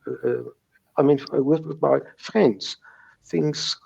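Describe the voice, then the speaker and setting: Halting South African man, slow and creaky. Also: a little high, steady tone, darkish tone, muffled recording. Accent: South African Afrikaans. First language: Afrikaans. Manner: halting, repeats words and sounds, stuttering. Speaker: guest recounting recovery from stuttering. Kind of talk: personal account in an interview.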